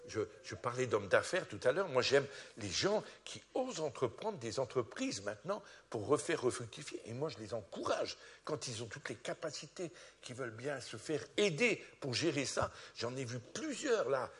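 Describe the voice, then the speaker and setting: A man from France giving a sermon as a pastor, animated and rising to loud peaks, often repeating words as he starts sentences. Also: mid-range voice, moderate pace, hardly any pauses. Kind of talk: testimony sermon.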